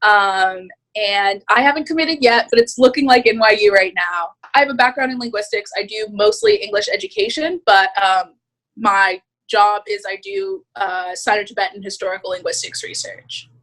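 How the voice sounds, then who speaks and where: A woman in her twenties introducing herself on a panel in a measured, matter-of-fact way. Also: mid-range voice, expressive, a bit bright, rough recording.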